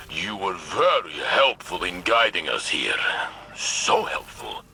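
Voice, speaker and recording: An actor playing a big-hearted, hopeful warrior in a video game, speaking with earnest weight. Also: male voice, on the low side, pushed and bright, a little hiss or hum, heavily acted. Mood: friendly. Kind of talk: video game cutscene acting.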